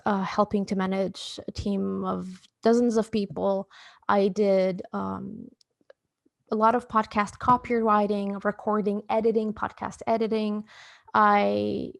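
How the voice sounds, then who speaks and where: Chatty woman with gravelly voice. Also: medium pitch, dry recording, clean studio sound. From Portugal. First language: Portuguese. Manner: chatty, many fillers and restarts. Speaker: podcast host, interviewed as guest. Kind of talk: casual conversational storytelling.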